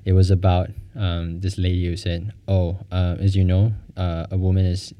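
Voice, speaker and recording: A man's voice: deep voice, monotone, normal speed, dark tone. A man hosting a casual chat, curious and chatty, asking follow-up questions. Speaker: host.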